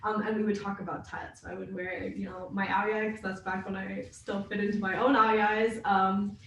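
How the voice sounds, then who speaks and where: Young adult co-host from the United States, mid pitch, careful and self-deprecating, far off mic with a faint hiss. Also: flat delivery, normal speed, gravelly, fairly bright tone. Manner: thoughtful, hedging, self-deprecating. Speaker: podcast co-host. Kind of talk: casual podcast chat. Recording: very echoey, distant from mic.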